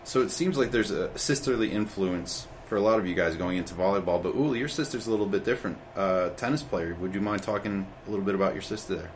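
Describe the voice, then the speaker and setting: A man's voice: low-pitched, fast talker, hardly any pauses, slight background noise. A man hosting a college sports podcast, asking prepared questions in a friendly way. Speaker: podcast host. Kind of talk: sports interview.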